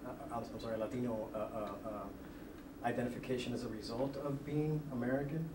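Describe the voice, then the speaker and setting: A man asking informal interview questions, halting and stumbling as he words them. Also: medium pitch, steady tone, very noisy. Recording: echoey room, distant mic, loud ambience.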